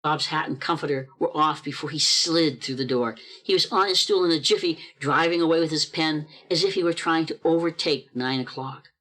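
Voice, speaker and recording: A steady, expressive narrator reading a classic tale aloud, like a storybook. The voice comes through a video call for an amateur radio play. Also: mid-range voice, fairly quick, hardly any pauses.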